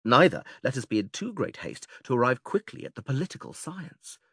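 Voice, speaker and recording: A patient, didactic philosopher leads a formal dialogue step by step. His pitch swings very widely as he questions and instructs. The voice is noticeably husky and recorded close and dry. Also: big pitch swings, a little fast, a bit bright, no room sound, heavily acted. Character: male philosopher stranger, patient, methodical, leading an argument. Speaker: audiobook actor reading philosophical dialogue. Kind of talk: dramatised classical dialogue reading.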